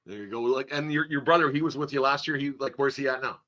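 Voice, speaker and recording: A man's voice: mid-range voice, fast talker, pushed and bright, highs cut off. A man hosting a casual sports interview in a folksy, rambling way, repeating words as he thinks. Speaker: podcast host, interviewer.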